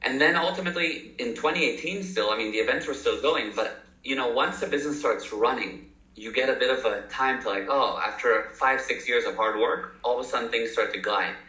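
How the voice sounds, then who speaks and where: A man's voice: medium pitch, a little fast, hardly any pauses, bright, forceful tone. A man in his thirties with a mixed American and South African accent, telling his story as a guest in an upbeat, talkative way. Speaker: entrepreneur guest. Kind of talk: casual interview talk. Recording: echoey room.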